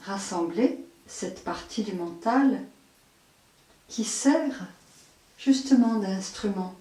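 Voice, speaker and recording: A woman leading a guided yoga nidra meditation as an instructor, in measured short phrases with long pauses. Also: medium pitch, lively intonation, dark tone. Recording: roomy echo, distant microphone.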